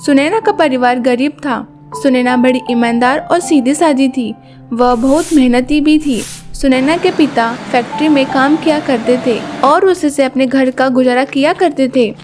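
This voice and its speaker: A woman's voice: high voice, moderate pace. A woman giving scripted narration of a moral bedtime story. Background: faint music.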